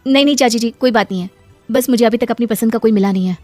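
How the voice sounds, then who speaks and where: A woman's voice: a little high, fast, dark tone, faint music behind, natural voice. A woman voicing a defiant but polite unmarried schoolteacher daughter of nearly thirty in a moral-story cartoon. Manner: indignant, protesting.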